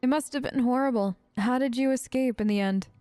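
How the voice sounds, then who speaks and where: A woman gamer talks through a role-playing game in character. Her voice is fairly high and recorded very dry, and she is chatty and expressive in her reactions. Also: fairly quick, dry recording. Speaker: gamer, let's player.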